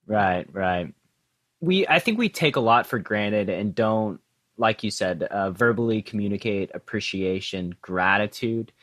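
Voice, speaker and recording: A man with a fairly low voice hosts a casual interview podcast. The sound is very dry, and his phrasing is reflective, informal and a little halting. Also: expressive, fairly bright tone, dry recording. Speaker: podcast host.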